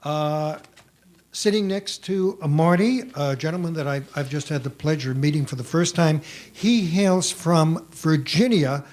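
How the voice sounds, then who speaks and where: A man with a slightly high voice moderates a live panel, presenting each guest with formal courtesy. The convention recording carries faint background noise. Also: unhurried, a little mellow.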